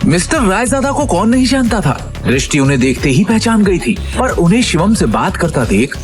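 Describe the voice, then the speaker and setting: A man's voice: high voice, very animated, normal speed, soft background music. A man narrating a Hindi audio drama in a dramatic, scripted storytelling style.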